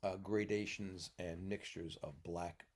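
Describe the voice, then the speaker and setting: A man from New York with a low voice holds forth in a relaxed podcast conversation. He explains at length like a teacher and keeps tagging his points with "okay?". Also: measured pace. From New York, US Northeast. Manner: lecturing, tags points with "okay?". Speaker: podcast guest, mentor. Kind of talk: casual chat.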